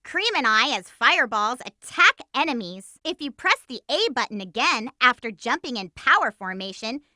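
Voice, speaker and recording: Sassy lovestruck hedgehog girl from a video game, girly high voice, bouncy pitch, peppy and emphatic. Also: big pitch swings, normal speed, no room sound, studio quality, exaggerated voice. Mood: peppy instructing. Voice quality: girlish, bright. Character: perky, feisty, lovestruck young cartoon hedgehog girl. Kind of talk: animated video game character lines.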